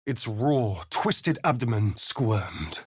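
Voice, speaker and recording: A British actor playing a sly, vain vampire spawn rogue in a video game, with an arch, theatrical, drawling delivery. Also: male voice, on the low side, animated, slow pace, husky, dry recording, studio quality, heavily acted. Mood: dramatic narration. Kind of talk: dramatic video game voice acting.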